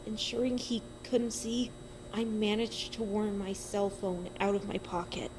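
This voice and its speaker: A woman's voice: mid-range voice, little pitch movement, measured pace, noisy background. A woman giving a dramatic first-person reading of a horror story, with a suspenseful, emotive delivery.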